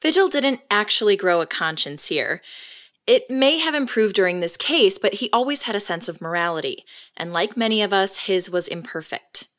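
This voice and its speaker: A woman playing a reflective case historian, giving measured scripted documentary-style narration in an audio drama. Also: medium pitch, expressive, fairly bright tone, natural voice. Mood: reflective, sympathetic. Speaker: narrator and host.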